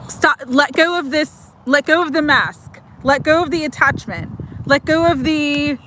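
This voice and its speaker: A woman's voice: high-pitched, measured pace, slight background noise. A woman in her forties giving chatty, affirming oracle card readings on a livestream, laughing often between lines. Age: 40s. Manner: warm, chatty, giggly, affirming. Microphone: livestream mic.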